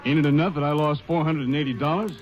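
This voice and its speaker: An actor playing a defensive rancher in a radio drama, talking in a colloquial drawl. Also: male voice, on the high side, fast talker, lo-fi sound, faint music behind, lightly acted. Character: nervous, defensive male rancher.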